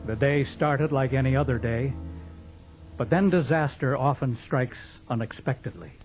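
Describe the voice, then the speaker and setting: A man's voice: mid-range voice, moderate pace, a little mellow, faint music behind. A man with an American accent reading scripted narration as the announcer of a radio drama.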